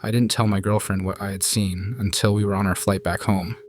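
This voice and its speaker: Man, low voice, calm horror-story narration, faint hiss behind. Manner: calm, measured, hushed storytelling. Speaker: narrator reading viewer stories.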